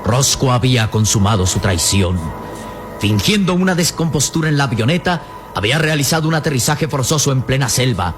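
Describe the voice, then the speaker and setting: A man's voice: medium pitch, expressive, brisk. A man narrating an adventure radio serial from a script, grave, emphatic and theatrical. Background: dramatic music.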